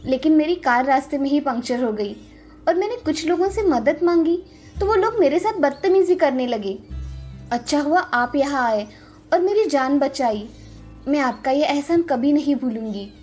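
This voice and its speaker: A shaken but sincere city woman in a cartoon moral story. She speaks earnestly and politely in a high voice, with soft music behind her. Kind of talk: animated moral story voice acting.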